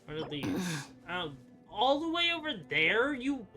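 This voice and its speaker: A young man giving casual gameplay commentary, muttering to himself in halting, half-finished thoughts. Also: very high voice, big pitch swings. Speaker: gamer playing on a stream or recording. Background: game music.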